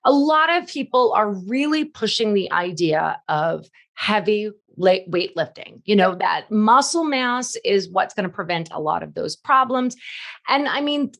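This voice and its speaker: A woman's voice: medium pitch, animated, a little slow, vocal fry, a bit bright. A woman of fifty hosting a podcast, talking in a chatty, informal way during a casual interview. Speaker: podcast host.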